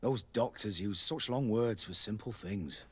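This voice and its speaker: Husky, worldly army quartermaster. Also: male voice, fairly low pitch, measured pace, fairly bright tone. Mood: apologetic. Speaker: military quartermaster. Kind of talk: video game voice acting.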